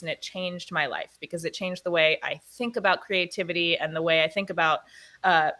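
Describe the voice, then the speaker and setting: A woman's voice: lowish voice, a bit bright. A woman in her thirties chatting in an interview as a guest, talking at length and laughing often. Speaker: guest, actor and producer. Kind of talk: casual interview chat.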